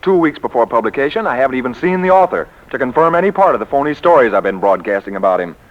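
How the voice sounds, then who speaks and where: A man's voice: mid-range voice, expressive, quick pace, close to an everyday voice. An actor playing an exasperated, sputtering male publisher in a 1940s radio comedy-drama. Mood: desperate. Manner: indignant, sputtering, stammering. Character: flustered, exasperated male book publisher and boss. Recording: muffled old 1940s radio recording.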